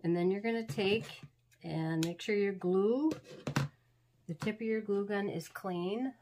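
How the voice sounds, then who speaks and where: A woman's voice: mid-range voice, a little slow, noisy background. A woman hosting a craft tutorial, talking through steps in a homey, rambling way, a little sniffly from being sick. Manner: chatty, homey, rambling instructions. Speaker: craft channel host. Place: crafting table indoors.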